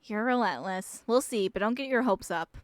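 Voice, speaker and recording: A woman's voice: mid-range voice, normal speed, natural voice. A woman voicing a stern, stressed young woman in a visual novel, scolding and humorless. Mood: exasperated, stern. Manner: stern, exasperated.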